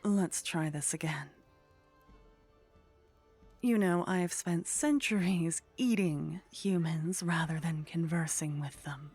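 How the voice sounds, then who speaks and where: Woman voicing an ancient vampire seductress, breathy and husky, lowish pitch, teasing, haughty, ASMR roleplay, faint music behind. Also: a little mellow. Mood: sheepish, polite. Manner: slow, teasing, breathy murmur slipping into whispers. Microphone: close microphone.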